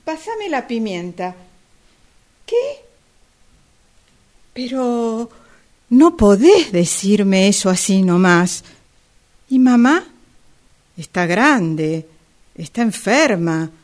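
A woman's voice: medium pitch, sing-song, very slow, pauses often, darkish tone. A woman acting a blunt, mid-voiced Argentine sister. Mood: indignant, reproachful. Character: blunt, bossy married sister, mother of two. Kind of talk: amateur radio drama acting.

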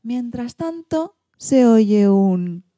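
A woman reading a children's storybook in an expressive, theatrical way. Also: on the high side, very slow, no echo. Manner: theatrical, acts out sound effects and exclamations.

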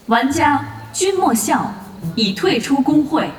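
A high female game announcer sounds out through long reverb as if far off. The delivery is formal and impersonal, like a system notification in an audio drama, over noticeable noise. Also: big pitch swings, slow pace, full character voice. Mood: formal, neutral. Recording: echoey, distant long reverb with clear noise.

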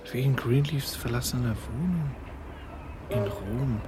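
A man's voice: mid-range voice, a little slow, noisy background, natural voice. An actor playing an anxious, suspicious man in a radio play, speaking with tense emphasis. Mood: grave. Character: anxious, agitated man prone to brooding suspicion.

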